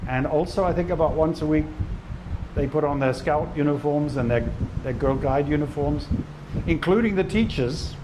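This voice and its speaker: A man's voice: on the high side, moderate pace, noisy background. A man hosting a casual outdoor interview, rambling and correcting himself as he sets up friendly questions. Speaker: interviewer and channel host. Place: beach outdoors.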